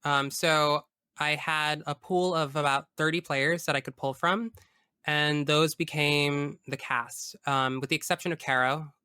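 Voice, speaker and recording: A man speaking as a guest in a casual interview, enthusiastic and emphatic in an expressive way. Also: on the high side, a little slow, clear, resonant tone, no room sound, studio quality. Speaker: tabletop game master. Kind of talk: casual interview chat about personal experience.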